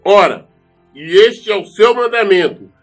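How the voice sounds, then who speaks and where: A man's voice: sits high, lively intonation, measured pace, soft background music, low-quality recording. A man with a Brazilian accent preaching an earnest, admonishing sermon, stressing his points with emphatic repetition. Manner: emphatic, repeats phrases for stress. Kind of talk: sermon with scripture reading.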